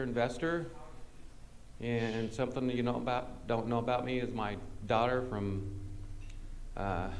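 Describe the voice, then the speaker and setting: A man introducing himself at a meeting, very slow, with halting pauses. There is faint background noise in the meeting room. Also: medium pitch. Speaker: meeting attendee.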